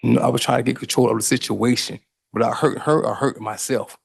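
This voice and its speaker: A man's voice: mid-range voice, fairly even intonation, fast, gravelly, breathy edge. A man testifying in a courtroom under cross-examination, answering emphatically and defensively in long run-on replies.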